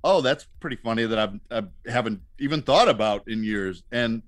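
A man with a mid-range voice is a guest on a casual podcast. He tells anecdotes conversationally and haltingly, with wry self-mockery. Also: mid-range voice, no echo.

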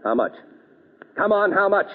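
An actor playing a menacing small-time crook with a slangy, threatening manner in an old radio drama. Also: male voice, sits high, sing-song, moderate pace. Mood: demanding. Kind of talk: 1930s-40s radio drama acting. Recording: echoey vintage radio, narrow band.

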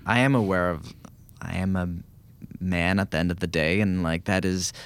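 A man's voice: deep voice, fairly even intonation, normal speed, smooth. A man in a casual podcast chat who speaks earnestly, often restarting his sentences and using lots of fillers. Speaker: podcast guest.

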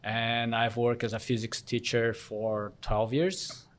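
A man's voice: medium pitch, little pitch movement, slow. A man in his late thirties from Brazil whose first language is Korean, frankly telling his own story in a casual interview.

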